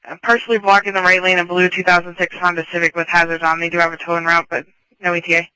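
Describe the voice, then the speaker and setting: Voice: medium pitch, completely flat delivery, fast talker, bright, forceful tone, clear, resonant tone, no echo, rough recording. A police dispatcher speaking in a clipped, businesslike way over a police scanner radio. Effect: police radio transmission.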